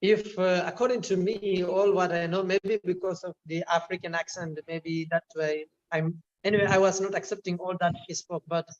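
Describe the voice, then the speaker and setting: A man's voice: high voice, fairly even intonation, moderate pace, hardly any pauses, muffled recording. Hesitant young man on a call. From Kerala, India. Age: 20s. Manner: hesitant, full of fillers and restarts. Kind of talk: casual chat.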